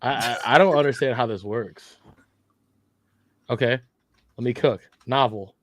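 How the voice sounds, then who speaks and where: A man's voice: medium pitch, measured pace. A male podcast co-host in casual joking banter, full of playful, profane trash talk.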